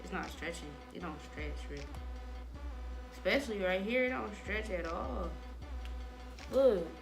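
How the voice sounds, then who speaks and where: Young american girl vlogging, slangy and rambly, normal pitch, music going behind her. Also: lively intonation, a little slow, vocal fry, poor audio. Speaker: vlogger giving a wig-making tutorial. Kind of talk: casual chat to camera.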